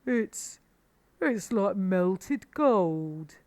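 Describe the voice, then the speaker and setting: Woman doing a slow, hearty badger. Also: medium pitch, sing-song, darkish tone, voice pushed up. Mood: blissful. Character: hearty, indulgent adult male badger.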